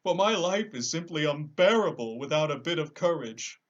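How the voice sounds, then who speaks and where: Man acting a sad cowardly lion, raised pitch, very animated, plaintive, self-pitying, theatrical storybook character. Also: moderate pace, highs cut off, lightly acted. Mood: anxious, hopeful.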